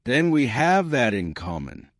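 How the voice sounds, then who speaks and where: A man voicing a plain, frank young gentlewoman in an audiobook, unhurried, matter-of-fact and dryly witty. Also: mid-range voice, very animated, no echo, studio quality. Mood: dry, composed. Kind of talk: audiobook character dialogue.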